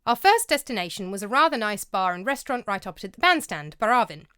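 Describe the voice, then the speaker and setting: Female vlog narrator, scripted and scholarly, bright lilting delivery, bone-dry studio read. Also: medium pitch, very animated, fast, smooth, no echo. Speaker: vlogger and narrator. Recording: dry close-mic voice-over.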